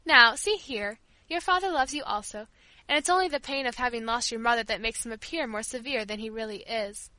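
A woman's voice: on the high side, fairly quick, few pauses, pushed and bright, dry recording. A woman voicing a kindly, grieving old female servant who tells her tale tenderly in an audiobook. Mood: gentle, recounting. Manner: tender, fond, rambling storyteller. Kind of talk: audiobook character dialogue.

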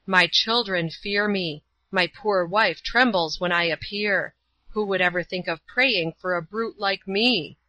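A woman's voice: mid-range voice, unhurried, pushed and bright, muffled recording. A woman doing a remorseful ex-convict man. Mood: bitter. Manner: bitter, self-loathing.

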